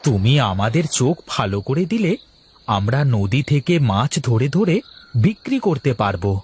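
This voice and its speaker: A man's voice: medium pitch, very animated, normal speed, noisy background, close to an everyday voice. A man playing a humble, cheerful blind village fish seller in a cartoon, with an eager, simple, folksy manner. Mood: earnest. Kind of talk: cartoon voice acting.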